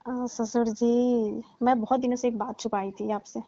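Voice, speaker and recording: Casting: woman as coy, complaining young daughter-in-law for phone comedy; higher, mellow voice. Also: flat delivery, measured pace, no room sound. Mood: coy, embarrassed. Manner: coy, hinting, complaining, teasing. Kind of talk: staged comic phone-call skit. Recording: muffled, lo-fi, dry call recording.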